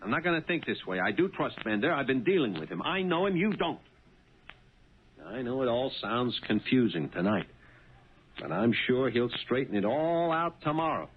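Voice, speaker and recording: Male cab driver played by an actor, anxious, earnest, medium pitch, vintage radio drama on a phone-like band. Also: very animated, normal speed, natural voice. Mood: insistent. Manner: earnest, flustered, stammering protests. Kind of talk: 1970s radio drama acting. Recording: tinny narrow-band old radio, faint hiss.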